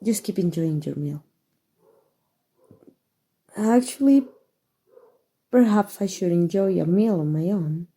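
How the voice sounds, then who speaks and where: A very mellow, dark-toned vampire lady, played by a woman with a Mexican accent. She is centuries old, elegant and gently possessive. She speaks slowly and tenderly in a breathy ASMR roleplay style. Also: fairly low pitch, heavily acted. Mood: doting, wistful, then hungry, coaxing. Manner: soft, tender, formal and unhurried endearments.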